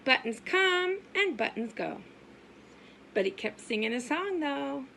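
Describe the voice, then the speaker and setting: A woman reads aloud at a children's story time in a high, bright voice. She goes slowly, with sing-song exaggeration and questions to her young listeners. There is faint noise behind her. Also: big pitch swings, creaky. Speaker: preschool teacher reading aloud.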